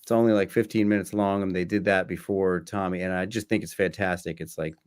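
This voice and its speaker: Man, somewhat low voice, informal interview chat, halting, repeats himself. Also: steady tone, smooth. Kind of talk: casual conversational interview.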